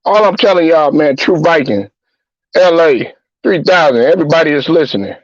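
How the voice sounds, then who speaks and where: A man's voice: a little high, moderate pace, no echo, dull audio. A man hosting a talk show, ranting bluntly and profanely with heated repetition. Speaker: host. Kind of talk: profane sports-talk rant.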